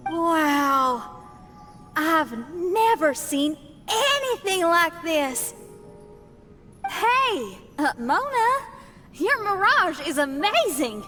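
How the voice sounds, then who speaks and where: A woman's voice: very high-pitched, big pitch swings, very slow, pauses often, sharp tone, soft background music, exaggerated voice. A woman voicing a kind, earnest young travelling companion in a video game, youthful and casual in manner. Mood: awed.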